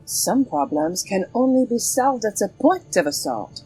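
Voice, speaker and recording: A daring, teasing heroine of an adventure audio drama. The voice swings theatrically up and down, playful and proud. Light music plays underneath. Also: female voice, mid-range voice, very animated, measured pace, dark tone, breathy edge, heavily acted, rough recording. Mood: resolute. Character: bold, teasing, sword-wielding French swashbuckling heroine.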